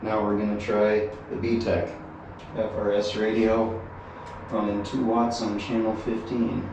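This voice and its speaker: A man speaks from a little way off the mic in a strongly reverberant room, with faint noise behind him. His voice is on the low side, and he talks casually and plainly, like someone reporting on a gear test. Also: level tone, measured pace. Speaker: reviewer testing walkie-talkie radios. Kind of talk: casual field-test narration. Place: inside a metal fire tower with windows. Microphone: action camera. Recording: roomy echo, distant mic, faint noise.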